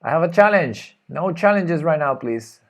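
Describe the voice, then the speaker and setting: A man's voice: on the high side, expressive, normal speed. Spanish man muttering chess moves aloud. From Spain. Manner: thinking aloud, hesitant, muttering move names. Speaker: chess streamer. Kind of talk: live chess commentary while playing.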